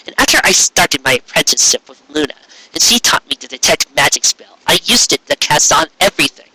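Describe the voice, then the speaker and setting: Guy doing a young girl unicorn wizard from a story, earnest, defensive, sharp raspy voice. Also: fairly high pitch, no echo, full character voice, low-quality recording. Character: young female unicorn magician, defensive and explanatory.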